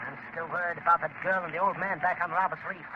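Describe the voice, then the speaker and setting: Man playing a nervous, highish-voiced henchman pilot. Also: fairly even intonation, fast talker, lightly acted. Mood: worried. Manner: anxious, worried, hesitant. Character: nervous, cowardly male pilot henchman of spies. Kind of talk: 1930s radio drama acting. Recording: muffled, very noisy 1939 radio broadcast.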